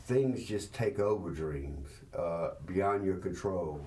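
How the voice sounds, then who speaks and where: An older man in his late sixties with a dark, low voice. He speaks slowly and thoughtfully, pausing as he goes, with faint noise behind him. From South Carolina, United States. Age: late 60s. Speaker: musician being interviewed. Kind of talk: reflective interview talk.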